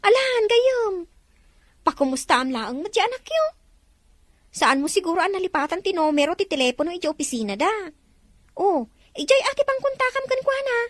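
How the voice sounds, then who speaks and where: An actress playing a chatty, gossipy friend who teases and gives advice. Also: female voice, very high voice, very animated, a little fast, no room sound. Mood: teasing. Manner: teasing, gossipy. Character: chatty, nosy middle-aged woman friend.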